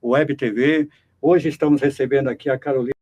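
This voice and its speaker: A man's voice: medium pitch, fairly even intonation, a little fast, darkish tone. A Brazilian man hosting a conversational interview in a radio studio. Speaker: radio host interviewing a guest.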